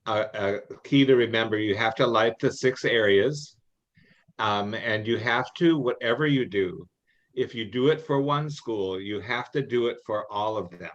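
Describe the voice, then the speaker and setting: A middle-aged man with a fairly low voice, heard through slightly muffled meeting audio. He talks in a folksy, halting way, repeating words as he gives practical advice informally. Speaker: experienced contest judge and adviser. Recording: muffled, band-limited online meeting audio.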